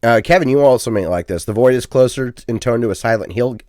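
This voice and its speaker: A man's voice: medium pitch, fast, darkish tone, dry recording, clean studio sound. A man co-hosting a casual gaming podcast, rambling and chatty with plenty of ums and uhs. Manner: self-deprecating, chatty. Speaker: podcast co-host.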